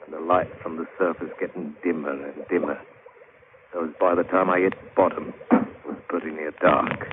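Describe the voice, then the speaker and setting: A man's voice: very deep voice, steady tone, moderate pace, noisy background. An actor playing a weathered sailor telling his sea tale in colloquial dialect, in old radio drama style. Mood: brooding, nostalgic. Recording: tinny old radio recording with music.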